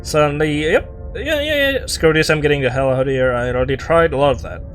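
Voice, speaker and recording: High-pitched man doing casual game commentary. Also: expressive, fast talker, fairly bright tone, soft background music. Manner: playful, offhand reactions, echoes game lines. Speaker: game streamer. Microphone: own microphone with compression.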